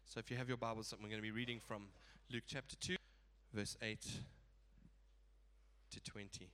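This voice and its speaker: Male preacher with a head cold, nasal and bright, chatty with laughing asides. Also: lowish voice, steady tone, normal speed, clean tone. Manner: casual, chuckling asides. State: stuffy blocked nose. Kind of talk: sermon.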